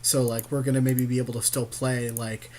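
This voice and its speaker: A man's voice: medium pitch, little pitch movement, fairly quick, darkish tone. A man chatting casually as he commentates a card game with a co-host, jokey and agreeable.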